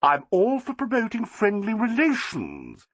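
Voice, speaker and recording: A male reader voicing a shrill, gushing society wife. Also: huge pitch swings, measured pace, a bit bright, dry recording, muffled recording, exaggerated voice.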